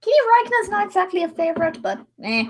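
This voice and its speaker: A woman's voice: very high-pitched, sing-song, fast. A woman chatting casually as a livestream host while editing, rambling and theatrical. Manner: rambling, theatrical, with sudden mock-dramatic outbursts. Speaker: livestream host and amateur voice-over editor.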